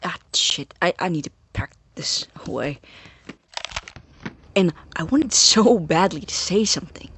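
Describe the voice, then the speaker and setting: A woman playing a nervous, awkward young scientist in a naturalistic audio drama, husky and halting, easily flustered. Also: medium pitch, expressive, a bit bright, a little hiss or hum. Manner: halting, stammering, indignant when challenged.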